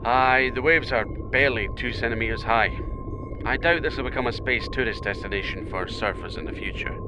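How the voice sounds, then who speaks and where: A man's voice: medium pitch, wildly swooping pitch, brisk, background noise. An actor playing a male captain watching over a submarine dive with concern. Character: Scottish male captain guiding a sub dive. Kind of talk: audio drama acting.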